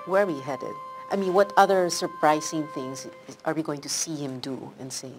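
A woman's voice: low-pitched, moderate pace, music in the background, poor audio. A woman hosting a talk show, asking questions in a chatty way with many fillers. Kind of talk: interviewing, conversational.

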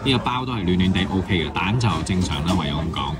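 A man giving a chatty, casual food review voice-over, musing out loud and mildly critical. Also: low voice, animated, fast talker.

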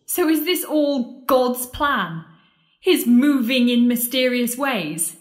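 A woman's voice: sits high, lively intonation, slow pace. A woman playing a sardonic, frightened penitent at the world's end in an audio drama, babbling and joking wryly out of nerves. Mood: angry, sarcastic. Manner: babbling, wry, joking nervously. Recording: echoey room.

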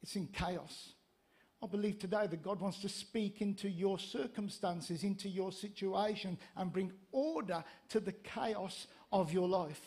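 A man in his fifties with a north-east English accent, preaching as a pastor in an animated, conversational storytelling way into a handheld microphone. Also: high voice, measured pace. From Gateshead, north-east England. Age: 50s. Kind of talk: sermon with humorous anecdotes. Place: church stage, indoors.

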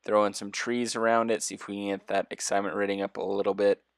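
A young man talks through his gameplay in a deep, quiet voice that sits a little low. He rambles in a relaxed way, thinking aloud and padding with fillers as he goes. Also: fast, gravelly, dry recording, clean studio sound. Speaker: gameplay video host. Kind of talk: casual gameplay commentary.

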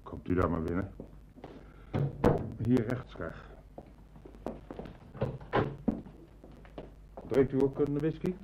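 An actor playing a courteous, troubled senior official in a radio play, speaking formally and hesitantly. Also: male voice, medium pitch, sing-song, measured pace. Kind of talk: Dutch radio drama acting. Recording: dull, noisy old radio recording.

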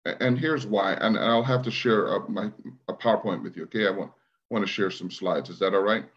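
A middle-aged man from Guyana, raised in Brooklyn, speaking as an interview guest over a video call, thoughtful and measured with restarts. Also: fairly low pitch, a bit bright, lo-fi sound. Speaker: guest, leadership trainer. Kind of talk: conversational interview.

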